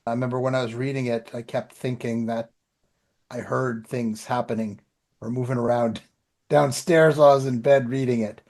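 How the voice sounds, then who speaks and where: A man's voice: medium pitch, steady tone, normal speed, a little mellow. Middle-aged man in casual chat. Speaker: podcast co-host and writer. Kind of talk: casual podcast chat about writing.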